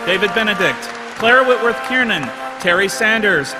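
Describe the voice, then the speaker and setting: A man announces from a script at a live stage show. His voice is pitched high for a man. Loud music plays behind him throughout. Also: animated, normal speed, crowd noise.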